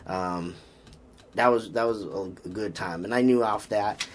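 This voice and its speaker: Casting: Boston-accented man, lowish voice, easygoing strength coach on a podcast, faint hum behind. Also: unhurried. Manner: rambling, frequent fillers and restarts, upbeat about lifting. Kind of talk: casual podcast chat.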